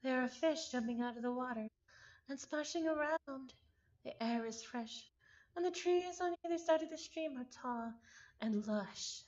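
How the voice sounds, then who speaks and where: A woman streamer reading a visual novel aloud, expressive and given to breaking off into exasperated, chatty commentary. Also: high-pitched, measured pace, lo-fi sound. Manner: expressive, breaks off narration to exclaim and repeat words in exasperation.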